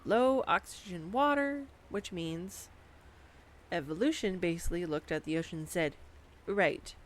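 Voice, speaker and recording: A woman's voice: lowish voice, unhurried. A young woman performing a scripted girlfriend roleplay monologue, chatty and giggly, talking to the listener. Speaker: voice actor playing a girlfriend. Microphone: studio condenser mic.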